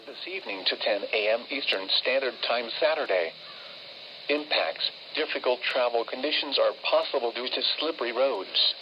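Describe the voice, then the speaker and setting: A man's voice: medium pitch, normal speed. Synthetic male weather radio voice in heavy static. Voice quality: synthetic text-to-speech voice. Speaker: automated weather radio announcer. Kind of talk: scripted weather forecast broadcast. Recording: muffled radio broadcast with loud static.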